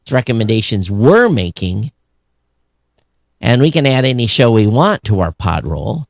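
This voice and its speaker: A man's voice: on the low side, big pitch swings, normal speed, vocal fry, no room sound, clean studio sound. A man talking casually and bluntly as a podcast co-host about his industry.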